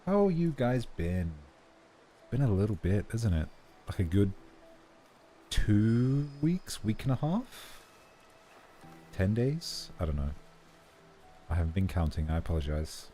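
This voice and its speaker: A man's voice: on the low side, big pitch swings, a little slow, long pauses, dark tone. A man chatting casually while livestreaming a game, his voice scratchy, rambling and correcting himself as he goes. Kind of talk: casual gameplay stream chat. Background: faint game music.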